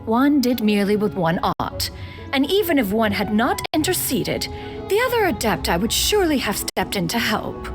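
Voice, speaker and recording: A woman's voice: on the high side, very animated, moderate pace, music in the background. A woman voicing a proud ancient bird immortal in human form for a video game, with haughty, formal, archaic phrasing. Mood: proud, dismissive. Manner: haughty, archaic, formal speech, calls herself "one".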